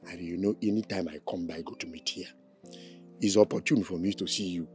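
A man's voice: sits low, fairly even intonation, fairly quick, a little mellow, soft background music, lightly acted. An actor playing a giggly, lovestruck suitor with a Nigerian accent, teasing and stumbling over his words. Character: giggly lovestruck village suitor, grown man. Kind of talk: comic film acting.

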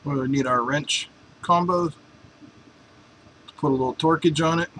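A man's voice: fairly high pitch, moderate pace, sharp tone, slight background noise, poor audio. A man in a home workshop explaining a welding torch swap in a casual, joking, folksy way. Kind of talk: casual workshop how-to narration.

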